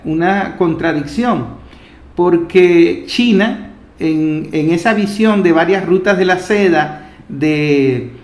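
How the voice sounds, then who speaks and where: A man with a notably high voice and a Venezuelan Spanish accent speaks as a political interviewer. He reasons at length in a discursive, argumentative way, and the room echoes around him. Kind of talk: reflective political interview commentary. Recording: roomy echo.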